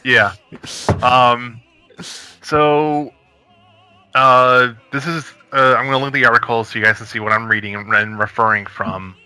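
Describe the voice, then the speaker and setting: A man in his thirties with a very bright, sharp tone, speaking quickly and bluntly on a casual gaming podcast. He drops casual curses while he airs his opinions, and faint music sits behind him. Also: mid-range voice, steady tone, smooth, no echo. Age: 30s. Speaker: podcast panelist. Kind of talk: casual panel discussion.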